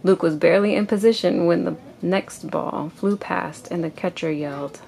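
A woman reading a children's picture book aloud to a child in an expressive storytelling way. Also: fairly low pitch, normal speed, vocal fry. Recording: noisy amateur home recording.

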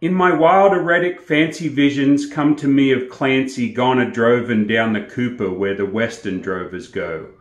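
Older aussie guy telling stories to camera, average pitch, pretty echoey room. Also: normal speed, few pauses, highs cut off. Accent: Australian. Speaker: host and storyteller. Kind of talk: poetry recitation and casual talk to camera. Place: small indoor room with plain walls, echoey.

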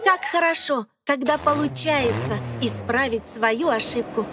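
A woman's voice: sits high, measured pace, music in the background. A woman reading scripted narration for an educational children's cartoon, explaining things in simple phrases addressed to young listeners.

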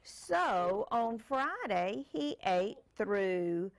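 An older woman reading a picture book aloud to a child, deliberate and pausing for the child to join in. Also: medium pitch, sing-song, creaky. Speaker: storyteller grandmother reading to a child. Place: screened porch.

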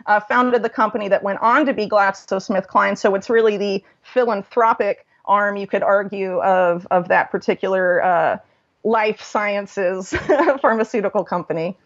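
A woman with a mid-pitched voice speaks as an interviewed researcher, laying out information in an informal, explanatory way. The audio is somewhat dull and lo-fi, like a call recording. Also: normal speed.

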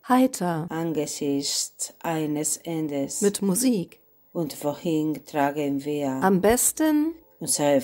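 A woman student with a Galician accent reciting a poem slowly and solemnly, with faint music behind. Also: low-pitched, darkish tone. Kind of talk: poetry recitation in two alternating voices.

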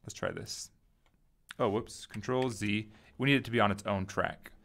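A man giving a casual software tutorial, informally thinking aloud as he goes. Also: lowish voice, moderate pace. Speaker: tutorial host.

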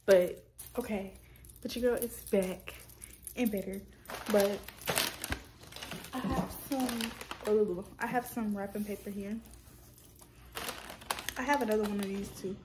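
A woman's voice: medium pitch, steady tone, a little slow, pauses often, noisy background. Chatty young woman rambling to camera. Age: young adult. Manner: rambling, self-correcting, chatty with viewers. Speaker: vlogger. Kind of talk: casual chat to camera. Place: small room at home, indoors.

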